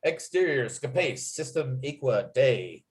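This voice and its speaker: A man's voice: medium pitch, big pitch swings, a little slow. A man reading script stage directions aloud as narrator, with clipped, dramatic scene headings. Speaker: narrator and writer.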